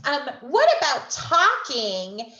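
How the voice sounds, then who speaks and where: A woman's voice: sits high, huge pitch swings, slow pace, bright, forceful tone. A woman moderating a video session for children, encouraging them and passing along their questions. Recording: echoey, dull video call audio.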